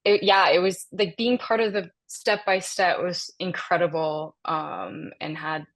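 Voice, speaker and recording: A woman's voice: fairly low pitch, a little slow. A woman in her thirties, born and raised in Denmark, chatting animatedly as an interview guest, with frequent laughs and restarts. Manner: animated, laughs often, many fillers and restarts. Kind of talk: casual interview chat.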